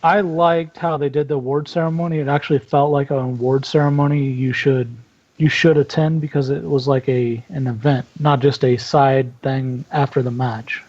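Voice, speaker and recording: Relaxed male guest, casual chat, a dull, muffled recording. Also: mid-range voice, moderate pace. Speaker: podcast guest.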